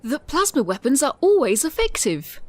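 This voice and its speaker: A prim female robot servant played by a woman in an audio drama. She is formal and precise, with swooping, expressive intonation. The sound is close and dry. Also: sits high, normal speed, no room sound. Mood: pleased, prim.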